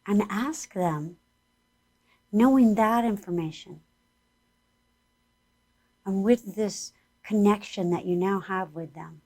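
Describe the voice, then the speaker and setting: A woman with a hoarse voice leading a gentle, earnest guided spiritual exercise as a spiritual teacher. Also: mid-range voice. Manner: slow, gentle and soothing guidance; earnest, speaks about Spirit and angels.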